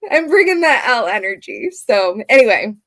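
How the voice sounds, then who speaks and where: A chatty woman in her early forties with a fairly high voice. She talks casually about a movie and laughs easily as she goes. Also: moderate pace. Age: early 40s. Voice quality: higher-pitched voice. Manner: laughs often, chatty and animated. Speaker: podcast co-host. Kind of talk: casual chat about a film and tarot.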